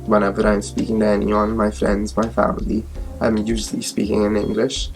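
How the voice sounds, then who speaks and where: A man's voice: fairly low pitch, completely flat delivery, fairly quick, faint music behind. A nineteen-year-old man from India, a medical student answering viewers' questions in a casual vlog, thinking aloud with fillers. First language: Hindi.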